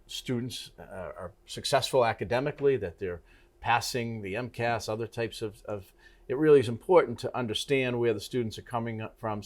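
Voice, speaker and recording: A middle-aged man hosting a TV talk interview, speaking in a halting way with many restarts and fillers. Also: fairly low pitch. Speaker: TV talk show host. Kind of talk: casual interview conversation.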